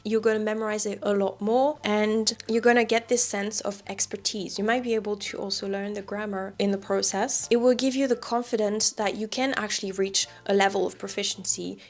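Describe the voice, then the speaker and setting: Woman giving chatty language tips. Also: mid-range voice. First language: French. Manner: chatty, relaxed, with light laughs. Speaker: language teacher. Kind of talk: casual advice vlog.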